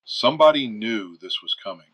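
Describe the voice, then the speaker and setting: A man playing a blunt, uneasy retired fighter pilot calling a radio show in an audio drama, speaking emphatically and deliberately. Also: medium pitch, expressive, fairly bright tone, husky, rough recording. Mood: grim, candid.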